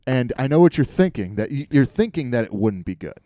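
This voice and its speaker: A man's voice: medium pitch, sing-song, a little fast, warm tone, no room sound. A man hosting a podcast, telling a comedic story in a wry, irreverent way. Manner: wry, irreverent, swears casually.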